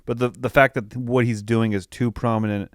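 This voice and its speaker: A man co-hosting a podcast, chatting casually and thinking aloud in loose, halting sentences. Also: medium pitch, fairly even intonation, no echo, clean studio sound. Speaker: podcast co-host. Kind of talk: casual chat, film review.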